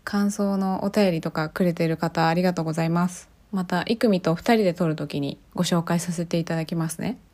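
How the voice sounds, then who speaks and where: A woman's voice: fairly low pitch, steady tone, brisk, hardly any pauses, darkish tone. A woman hosting a podcast on her own, chatting casually and often putting questions to her listeners. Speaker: podcast host. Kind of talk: casual solo podcast chat.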